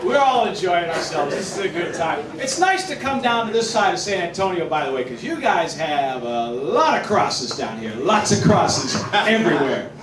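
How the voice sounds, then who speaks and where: A man's voice: high-pitched, sing-song, normal speed, few pauses, crowd noise. A man from Boston with a Boston accent doing a wry, punchy stand-up set in a restaurant. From Boston, Massachusetts. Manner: wry, self-deprecating, punchy delivery with loud mock announcer shouts. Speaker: stand-up comedian. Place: Mexican restaurant, indoors. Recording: echoey room, distant mic, audience noise.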